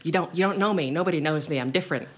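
Low-voiced woman musician telling stories. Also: very fast, crowd noise. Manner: animated storytelling with frequent laughs. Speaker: musician guest. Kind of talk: casual radio interview.

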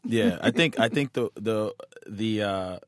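A stand-up comedian talking casually as a guest, animated and acting out other people's voices. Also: male voice, on the low side, unhurried, no room sound. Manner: animated, acts out voices, fillers and restarts. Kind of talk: casual chat.

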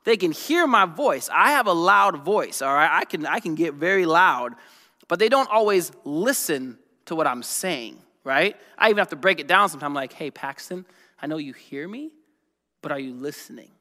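A man in his thirties preaches with a bright, ringing voice pitched a little high for a man. He talks to the congregation casually, firing questions at them. The sound carries the long reverb of a large hall. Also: moderate pace. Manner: energetic, conversational, asks the crowd questions. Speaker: pastor. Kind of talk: sermon.

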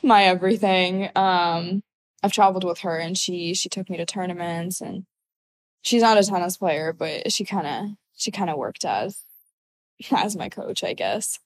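A woman in her twenties from Ukraine, speaking in a slightly low, easy voice. She answers questions casually and loosely, with plenty of fillers. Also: unhurried. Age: 20s. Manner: relaxed, uses many fillers like "like" and "so yeah". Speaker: guest, college athlete.

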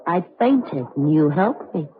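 An actress playing a mysterious, composed young woman in an old-time radio drama, measured and enigmatic. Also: low voice, animated. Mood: cool, enigmatic. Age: young adult. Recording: thin, phone-like, noisy old radio recording.

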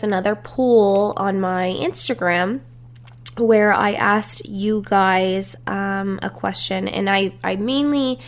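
A young woman talks through her podcast in a loose, confiding way, drifting into stories from her childhood. Her nose is blocked and her voice comes out stuffy and congested, at an ordinary middle pitch. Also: a little hiss or hum. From Canada. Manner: chatty, confiding, storytelling. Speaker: podcast host. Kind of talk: casual solo podcast chat.

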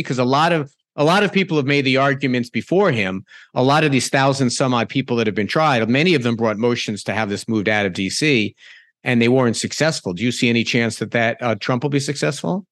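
A man hosting a podcast interview, talking in a halting way with many restarts and repeated words. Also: medium pitch, fairly bright tone, no echo, clean studio sound. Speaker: podcast host, former politician. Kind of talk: conversational interview.

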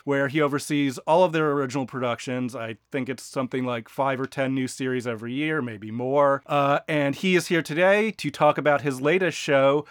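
High-pitched, smooth male co-host. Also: fairly bright tone, no room sound, studio quality. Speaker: podcast co-host and interviewer. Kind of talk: prepared conversational introduction.

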